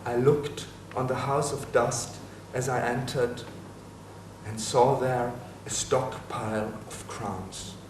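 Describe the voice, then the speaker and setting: Casting: man as a dead soul describing the underworld, slow and grave, heard from afar in an echoey hall. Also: mid-range voice, steady tone, close to an everyday voice. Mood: hushed. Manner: slow, ominous recital. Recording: echoey hall from a distance, faint noise.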